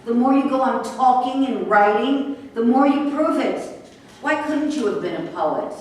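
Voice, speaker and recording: A woman in a staged play reading, acting a bitter, sharp-tongued racehorse trainer who is hurt, sarcastic and confrontational. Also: high-pitched, expressive, normal speed. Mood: biting. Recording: very echoey room, distant mic, faint noise.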